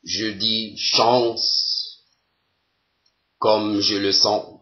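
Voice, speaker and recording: A man giving a solemn poetry recitation in a measured, deliberate way, pausing between phrases. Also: lowish voice, little pitch movement, lo-fi sound.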